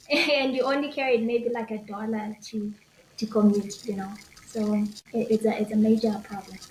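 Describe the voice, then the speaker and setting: A woman's voice: a little high, fairly even intonation, moderate pace, a little mellow. A young woman with a Zimbabwean accent, talking casually and conversationally as an interview guest. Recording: echoey room with faint noise.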